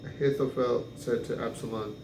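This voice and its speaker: Man reading scripture aloud, flat and echoey. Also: medium pitch. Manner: halting, stumbles over names and restarts. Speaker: Bible reader. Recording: echoey room with clear background noise.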